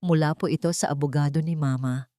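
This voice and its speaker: A woman's voice: deep voice, fairly even intonation, fairly quick, dark tone, no echo, clean studio sound, lightly acted. Earnest young male lawyer, voiced by a woman storyteller. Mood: grave, hesitant.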